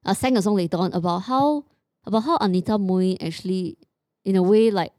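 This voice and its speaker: A woman's voice: mid-range voice, brisk, mellow, no room sound, studio quality. A woman podcast host in casual, reflective conversation, often checking for agreement with a short tag question. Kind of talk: casual chat.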